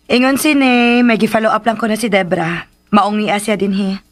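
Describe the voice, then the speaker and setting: A woman playing a gentle, dutiful young housemaid in a radio drama, patient and placating, with a Cebuano accent. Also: medium pitch, fairly even intonation, normal speed, lightly acted. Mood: reassuring. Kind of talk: Philippine radio drama acting.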